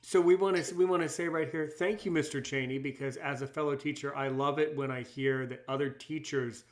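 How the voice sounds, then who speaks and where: A man's voice: fairly high pitch, fairly even intonation, fairly quick. A man hosting a conversational podcast interview, speaking emphatically and often repeating his words and phrases for effect. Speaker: podcast host; English as a second language teacher.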